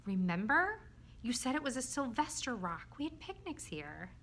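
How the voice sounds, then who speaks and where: A small, childlike male storybook creature, played by a woman, earnest and easily spooked. The voice sits high and bright, with big dramatic swings in pitch. It sounds excitable and very sincere. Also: heavily acted. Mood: excited, earnest. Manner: childlike, earnest, dramatic, halting when scared.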